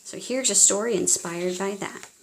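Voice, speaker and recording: A woman reading a children's storybook aloud in a theatrical, expressive way, as if speaking directly to young listeners. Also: mid-range voice, normal speed, creaky, slight background noise, poor audio. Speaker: children's audiobook reader.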